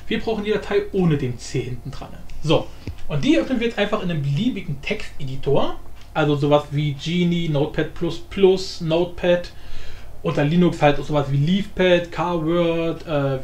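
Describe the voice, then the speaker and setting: A man giving a casual software tutorial in an informal, blunt, rambling way. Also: a little high. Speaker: tutorial presenter. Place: indoor room, voice-over for screen recording. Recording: roomy echo, light background noise.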